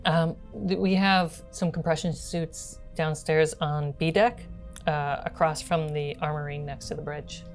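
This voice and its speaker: Woman game master with a low voice, kinda halting narration, faint music behind her. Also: fairly even intonation. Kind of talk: improvised narration of a tabletop role-playing game. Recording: background music under the voice.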